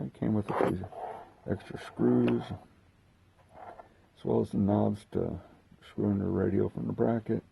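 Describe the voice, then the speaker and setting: Dark, very gravelly, slow-talking man. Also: fairly low pitch, a little hiss or hum, rough recording. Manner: halting, thinking aloud while handling gear. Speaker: host of a hobby unboxing video. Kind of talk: casual unscripted product walkthrough.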